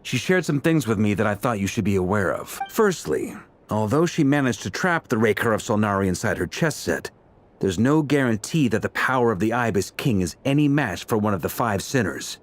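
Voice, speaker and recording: A veteran knight leader from a fantasy game, low-voiced and down-to-earth. He talks with animated swings, affable and wry, over clear background noise. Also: brisk. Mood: casual, easygoing. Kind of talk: naturalistic video game voice acting.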